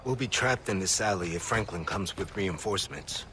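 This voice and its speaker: A man's voice: sits low, moderate pace, bright, forceful tone, a little hiss or hum. An actor playing an urgent, cautious male rebel ally in a video game cutscene. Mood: urgent, wary.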